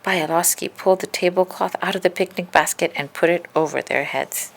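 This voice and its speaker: A woman reading a children's story aloud in an expressive, deliberate narrating style. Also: on the low side, gravelly, slight background noise. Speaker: storybook reader.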